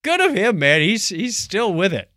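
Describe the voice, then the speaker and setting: A man's voice: sits high, sing-song, moderate pace, sharp tone, no room sound. A man co-hosting a podcast in a casual, playful, chatty style. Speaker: podcast co-host. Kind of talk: casual chat and listener thanks.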